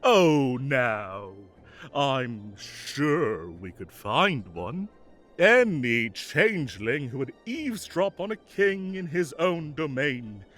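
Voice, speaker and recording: Man voicing a whimsical summer fae king, doting, theatrical, mock-indignant, highly melodic delivery, leisurely pace, audio drama. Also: very animated, takes it slowly, fairly bright tone, soft background music. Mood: sly, teasing.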